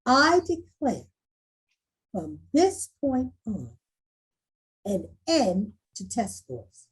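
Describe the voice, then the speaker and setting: A woman's voice: fairly high pitch, huge pitch swings, drawn-out words, breathy edge, full character voice. A woman playing an earnest, determined school principal making a rousing speech in a screenplay table read. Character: earnest, determined female school principal rallying staff and students.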